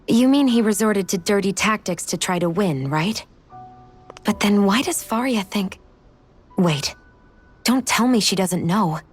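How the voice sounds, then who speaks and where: A woman's voice: medium pitch, expressive, measured pace, long pauses, breathy edge, soft background music. A woman playing an earnest young game heroine, direct and questioning, with a guarded concern for others. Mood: probing, concerned. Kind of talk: dramatic video game voice acting.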